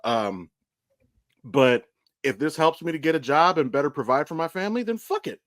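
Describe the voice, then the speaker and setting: A man's voice: a little high, lively intonation, moderate pace, a bit bright, no echo. Candid, swearing American man chatting, dry sound. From Ohio, United States. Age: 30s. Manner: candid, profane, rambling storytelling. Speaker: podcast host. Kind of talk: casual conversational podcast chat.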